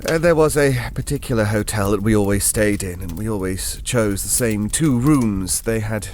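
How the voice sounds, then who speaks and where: A man's voice: on the low side, moderate pace, darkish tone, slight background noise, close to an everyday voice. An actor playing a genteel older Englishman, telling a ghost story in an audio drama in a hesitant, digressive, politely formal and wistful way.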